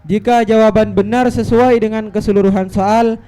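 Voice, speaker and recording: A man formally reading out the rules of a school quiz competition at an event, in a measured, even way. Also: sits high. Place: event hall at an Islamic boarding school.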